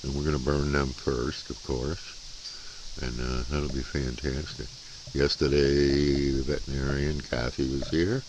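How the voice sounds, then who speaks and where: A man, chatting casually and folksily as a CB radio hobbyist, with rambling, hesitant pauses. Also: extremely low pitch, vocal fry, background noise. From United States. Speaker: amateur CB radio operator and vlogger. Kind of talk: casual vlog chat and radio contact calls.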